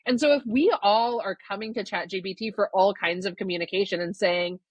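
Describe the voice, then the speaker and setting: A woman from Canada, a guest author answering podcast interview questions in a thoughtful, measured way that qualifies her claims. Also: medium pitch, dry recording.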